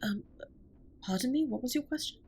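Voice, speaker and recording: Husky, formal alien starship captain, played by a woman. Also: high-pitched, expressive, a little fast, darkish tone, exaggerated voice. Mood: earnest, shy. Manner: formal, precise, stiltedly polite. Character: formal, reserved but tender alien spaceship captain. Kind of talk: scripted comfort audio roleplay.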